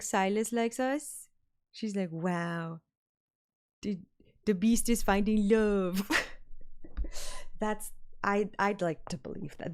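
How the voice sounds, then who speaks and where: A woman's voice: mid-range voice, darkish tone. A woman streaming a game, reading its story aloud like narration and chatting casually about it. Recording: game music under the voice. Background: game soundtrack music.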